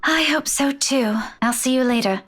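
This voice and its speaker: An actress as an anxious woman worn down by a hospital vigil, in a visual novel. Her high voice is earnest and hesitant, catching slightly on her words. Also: normal speed, fairly bright tone. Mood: weary, grateful.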